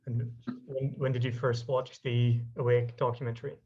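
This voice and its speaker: A man hosting a podcast interview in a measured, encouraging way over a video call. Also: mid-range voice, flat delivery, darkish tone, slightly rough. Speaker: podcast host. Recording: muffled video call audio.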